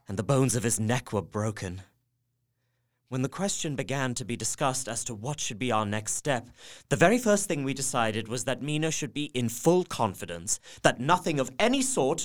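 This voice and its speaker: A man's voice: mid-range voice, close to an everyday voice. A man playing an anxious young husband. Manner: earnest, worried, emotional. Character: anxious young Victorian husband writing his journal. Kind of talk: radio drama journal narration. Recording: faint music behind.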